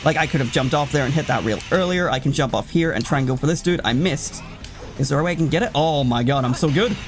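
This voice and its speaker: Rambling gamer guy over loud game music. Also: medium pitch, fast talker. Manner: rambling, self-interrupting, sweary, reacting to play. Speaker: let's play commentator. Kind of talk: casual gameplay commentary. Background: video game music.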